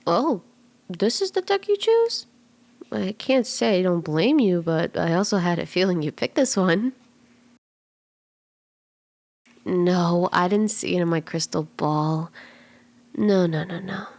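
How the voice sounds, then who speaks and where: A woman voicing a flirty, playful kitsune fortune teller in a soft, teasing, reassuring ASMR roleplay, slipping into breathy whispers in places. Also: fairly low pitch, expressive, measured pace. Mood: playful, affectionate. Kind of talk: ASMR roleplay, soft intimate tarot reading.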